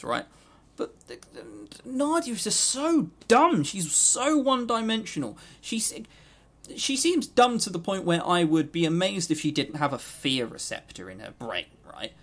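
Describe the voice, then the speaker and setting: A man's voice: very animated, moderate pace. A man co-hosting a podcast, giving an unscripted, exasperated, sweary review with emphatic delivery. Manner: mimics characters in high exaggerated voices. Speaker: podcast co-host reviewing visual novels.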